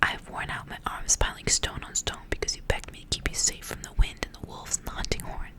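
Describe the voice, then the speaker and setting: A woman's voice: fairly quick, lightly acted. Storybook guardian: bitter older woman, accusing and possessive, fully whispered, read by a woman for ASMR.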